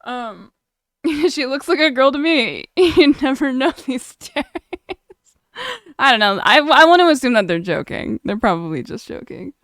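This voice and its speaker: A woman's voice: high voice, animated, a little slow, fairly bright tone, dry recording, clean studio sound. A young woman streamer chatting casually, reading chat aloud and laughing often.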